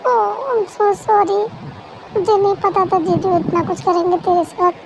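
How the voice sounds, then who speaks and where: A woman's voice: very high voice, fairly even intonation, measured pace, noisy background. A woman voicing a caring young female best friend who talks in a soothing, coaxing way, in an amateur fan dub.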